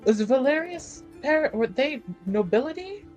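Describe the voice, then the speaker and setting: Slow-talking woman over faint music. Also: high voice, animated, darkish tone. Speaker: tabletop roleplaying player. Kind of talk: casual tabletop roleplay discussion.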